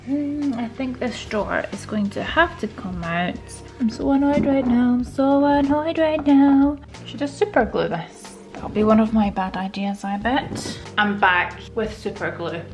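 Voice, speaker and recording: A woman with a fairly high voice speaks in a casual, chatty vlog style. She is expressive and playful, often talking to herself as she goes. Music plays faintly underneath. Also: measured pace, few pauses. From Estonia. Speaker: vlogger, nail technician. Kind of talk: casual chatty vlog voice-over while tidying.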